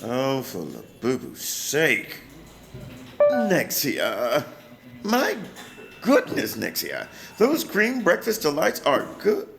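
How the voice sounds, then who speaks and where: A man's voice: on the high side, wildly swooping pitch, slow pace, gravelly, loud music behind the voice, heavily acted. A man playing a flamboyant, sugary, sweet-talking salesperson in a sci-fi circus audio drama, with a theatrical, effusive delivery. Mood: playfully exasperated.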